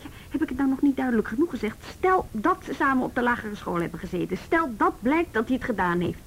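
A woman's voice: fairly high pitch, expressive, quick pace, fairly bright tone, slight background noise, low-quality recording. An actress playing a reluctant, compassionate junior policewoman with a Netherlands Dutch accent, plain-spoken and doubtful in a radio drama. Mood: upset, challenging. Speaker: actor in a radio play. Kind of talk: naturalistic radio drama dialogue.

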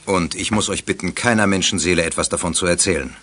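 A man's voice: low-pitched, fast talker, fairly bright tone, slight background noise. An actor playing a stern, commanding school principal and father in a children's audio drama. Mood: grave, admonishing. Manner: strict, commanding. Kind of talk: 1980s German children's radio play acting.

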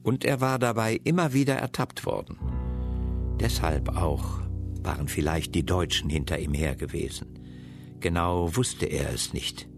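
Very deep-voiced man reading prose. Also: animated, measured pace, creaky. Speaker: reader of literary excerpt. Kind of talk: literary reading. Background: guitar music.

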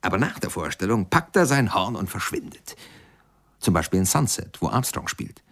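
Low, animated musing narrator. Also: male voice, moderate pace, full character voice. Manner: conversational, musing, questioning, showing and prompting. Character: musing biographer-narrator who prompts and coaches another speaker. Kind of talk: radio play narration. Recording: music clearly behind the voice.

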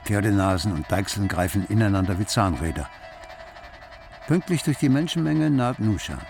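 An actor playing the wryly observant narrator of a literary radio play about city life. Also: male voice, lowish voice, lively intonation, moderate pace, vocal fry, a little mellow. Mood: wry narration. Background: music.

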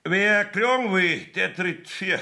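An actor playing a blustering, evasive rustic village judge in a radio play, folksy and bossy, with a Bavarian accent. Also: male voice, sits high, slow, bright, forceful tone, full character voice. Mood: gruff, officious. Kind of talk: 1970s dialect radio play acting.

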